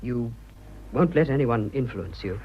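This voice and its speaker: An actor playing a devoted young lover with an English accent, tender and coaxing, in a radio drama. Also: male voice, mid-range voice, animated, a little slow, slight background noise, lightly acted. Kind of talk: romantic radio drama acting. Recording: dull, muffled old radio drama.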